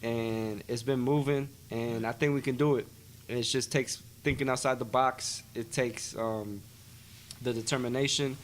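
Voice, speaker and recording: A man's voice: mid-range voice, steady tone, normal speed, a little hiss or hum. Laid-back slangy young man rapper. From Silver Spring, Maryland, USA. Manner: laid-back slangy talk, many fillers and "you know what I'm saying". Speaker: guest rapper. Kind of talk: casual interview chat.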